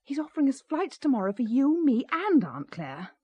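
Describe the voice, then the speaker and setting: Lively, courteous young bride, dry sound. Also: female voice, sits high, moderate pace, no echo, lo-fi sound. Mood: flustered. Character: newly married woman, polite but firm. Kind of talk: audio drama acting.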